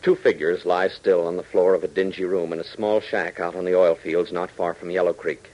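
A man's voice: sits low, flat delivery, brisk, a little mellow, highs cut off. An American man narrating emphatically and dramatically as an announcer in 1940s radio style. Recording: old 1940s radio broadcast recording.

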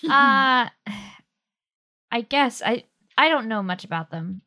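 A woman's voice: on the high side, expressive, slow, sharp tone, pitched above the performer's own voice, exaggerated voice. A woman playing a harried, uneasy barkeep in a tabletop game, hesitant and polite. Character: harried, uneasy female barkeep busy with work.

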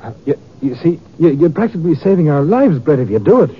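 An actor playing a gloomy, nervous, failing travelling stage player in a 1950s radio western, speaking hesitantly. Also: male voice, fairly high pitch, very animated, fast, breathy edge. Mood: nervous, coaxing. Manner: hesitant, stammering. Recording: muffled, noisy old radio recording.

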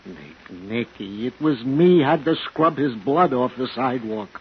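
Actor as older male druggist, fearful, insistent, pleading; medium pitch, 1950s radio drama, muffled recording. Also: expressive, measured pace, lightly acted. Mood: fearful, stubborn. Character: older, fearful small-town drugstore owner. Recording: dull, slightly noisy 1950s radio recording.

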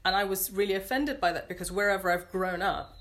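A woman with an English accent, speaking earnestly and thoughtfully as an interview guest, pausing to search for words. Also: medium pitch, fairly bright tone. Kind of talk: reflective interview conversation.

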